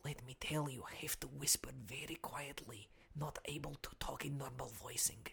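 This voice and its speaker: Gravelly, whispering Ukrainian correspondent. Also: male voice, fairly high pitch, moderate pace. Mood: hushed, urgent. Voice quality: hushed, breathy, gravelly. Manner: broken English, repetitive, nervously secretive. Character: anxious Ukrainian foreign correspondent man, whispering, hapless. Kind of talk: comic fake news field report.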